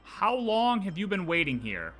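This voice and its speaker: A young man streaming a game with casual live reactions, excitable, slangy and jokey. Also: high-pitched, moderate pace, faint music behind.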